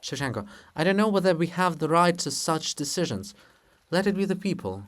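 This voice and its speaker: A man voicing the statue of an anguished old male poet in a dramatic reading, mournful and slow. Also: on the high side, very animated. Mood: troubled.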